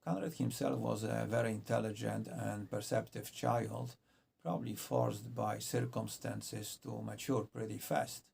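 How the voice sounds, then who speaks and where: Gravelly man reviewing books. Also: lowish voice, steady tone, unhurried, a little mellow, a little hiss or hum. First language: Polish. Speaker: book reviewer. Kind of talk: scripted book review.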